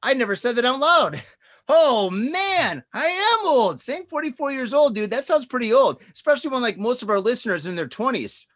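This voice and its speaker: A man's voice: very high-pitched, big pitch swings, normal speed, a bit bright. A man in his forties telling a rambling, profane story in casual podcast chat, peppering it with "dude" and "man". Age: 40s. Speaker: podcast co-host.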